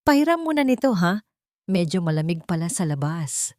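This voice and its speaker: A woman's voice: lowish voice, big pitch swings, normal speed, a little mellow, dry recording, studio quality. Woman voicing a honey-sweet scheming female villain. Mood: casual, friendly. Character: sweet-talking, scheming young woman, a sister-in-law villain.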